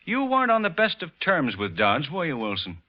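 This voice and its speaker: An actor playing a police detective in an old radio thriller, deceptively casual, insinuating and slyly suspicious. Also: male voice, a little high, wildly swooping pitch, a little fast. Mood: slyly probing. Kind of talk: old-time radio suspense acting. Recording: muffled, noisy vintage radio recording.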